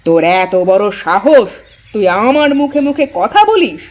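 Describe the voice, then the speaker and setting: A woman's voice: on the high side, sing-song, normal speed, a little mellow, lo-fi sound. A woman voicing a bossy, easily offended elder daughter-in-law in a Bengali cartoon story. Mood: outraged.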